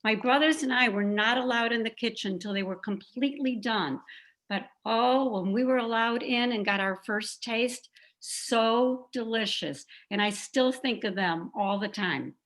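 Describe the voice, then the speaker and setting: A woman's voice: a little high, unhurried, a bit bright. A woman telling personal family stories in a conversational, confiding manner, partly read aloud. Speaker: participant sharing a family memory.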